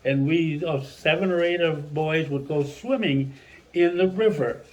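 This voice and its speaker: A man's voice: on the high side, measured pace, rough recording. Older Mexican-born man telling stories. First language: Spanish. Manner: rambling, animated storyteller who restarts sentences. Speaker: retired Spanish teacher. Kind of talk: family storytelling.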